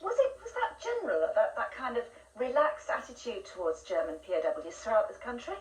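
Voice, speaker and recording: A woman co-hosting a daytime chat show, asking halting, chatty questions. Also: on the high side, very animated, reverberant, recorded from a distance, rough recording.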